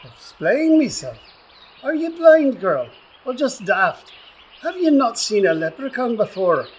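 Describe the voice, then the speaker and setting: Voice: mid-range voice, sing-song, unhurried, husky. An actor in a radio play voicing a grumpy, suspicious little leprechaun with an Irish accent, blustering and insulting. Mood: indignant.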